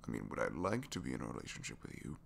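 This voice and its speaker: A captured supervillain played by a man confides in a low voice that swings expressively up and down. He is bashful, self-deprecating and smitten, halting and dropping into whispers as in an intimate ASMR roleplay. A faint hum sits behind him. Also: very animated, gravelly, fairly bright tone, heavily acted. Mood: tender, hesitant. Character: captured young male supervillain, reluctantly evil, bashful and lovestruck. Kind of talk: ASMR romantic audio roleplay.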